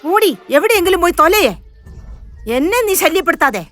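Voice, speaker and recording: A woman's voice: very high voice, expressive, moderate pace, fairly bright tone, soft background music. A woman voicing a cruel, haughty mistress of a house who scolds harshly in a moral story. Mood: furious, contemptuous. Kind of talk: dramatized moral story for children.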